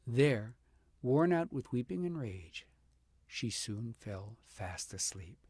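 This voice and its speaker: A man reading a fairy tale aloud as an expressive, dramatic audiobook narrator, with a gravelly, slightly husky voice. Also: mid-range voice, slow, no room sound. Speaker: audiobook reader.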